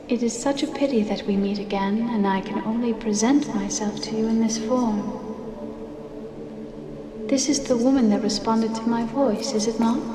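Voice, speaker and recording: A woman voicing a solemn gentle ghost. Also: a little high, noisy background, natural voice. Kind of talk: 1990s game cutscene acting. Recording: distant echoey hall with music.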